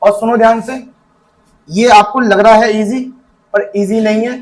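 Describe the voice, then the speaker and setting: Male teacher, high voice, quizzes the class and answers himself. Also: fairly even intonation, poor audio. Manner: asks students questions and answers them himself; reads definitions from the book slowly. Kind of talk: classroom economics lesson.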